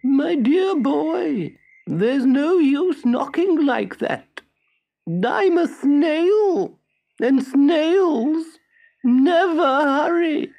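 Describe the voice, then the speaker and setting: A man voicing an unhurried female snail in a storybook, drawing out her words very slowly. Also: very high voice, animated, creaky, a little mellow, pitched above the performer's own voice, exaggerated voice. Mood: slow, unruffled. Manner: drawn-out, very slow delivery. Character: slow, unhurried female snail servant of a fairy.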